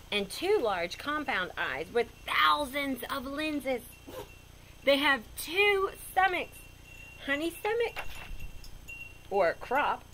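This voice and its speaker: A woman reading a children's picture book aloud in a goofy, expressive way with chatty asides. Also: on the high side, a little hiss or hum, rough recording. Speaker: storybook reader.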